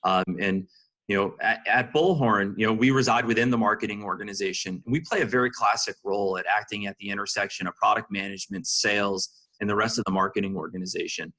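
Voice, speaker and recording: A man's voice: fairly low pitch, steady tone, fast talker, bright, forceful tone. A man answering interview questions conversationally as a senior executive, peppering his sentences with "you know".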